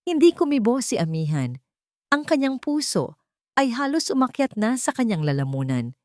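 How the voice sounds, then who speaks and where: A woman's voice: fairly high pitch, very animated, normal speed, a little mellow, no echo, studio quality. A woman narrating a scripted love story in a suspenseful, theatrical way.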